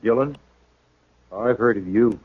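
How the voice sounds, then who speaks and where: An actor playing a gruff, bitter, wounded middle-aged frontier homesteader in a 1950s radio western, weak and in pain, with a drawling country way of talking. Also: male voice, mid-range voice, a little slow. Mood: weary. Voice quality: drawling, rough country speech. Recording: narrow-band vintage radio broadcast.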